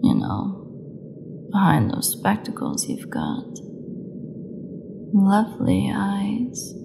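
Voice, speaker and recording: Woman acting as a gravelly, sardonic captive vampire woman. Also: medium pitch, huge pitch swings, drawn-out words, background noise, exaggerated voice. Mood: predatory teasing. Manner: sarcastic, teasing drawl with dramatic drops. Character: captive starving female vampire, sardonic, cocky, menacing, taunting her jailers. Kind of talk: solo audio roleplay acting.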